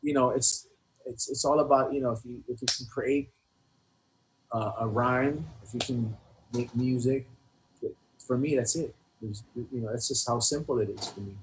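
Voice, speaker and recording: A man talks as a guest in a casual interview over faint background noise. His mid-pitched voice moves in halting phrases, often circling back and repeating words. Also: steady tone, low-quality recording. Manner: halting, repetitive phrasing with frequent "you know". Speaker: guest hip hop artist.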